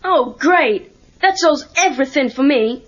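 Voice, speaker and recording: American teenage boy, high lively voice, eager, sarcastic wisecracker, film dialogue, muffled cassette sound. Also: measured pace, heavily acted. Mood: frustrated, sarcastic. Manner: sarcastic, animated, pleading. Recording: dull lo-fi cassette tape.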